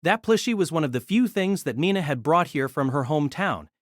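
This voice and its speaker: A man narrating a novel in an audio drama, steady storytelling with lively inflection. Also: fairly high pitch, fairly quick, no echo, clean studio sound.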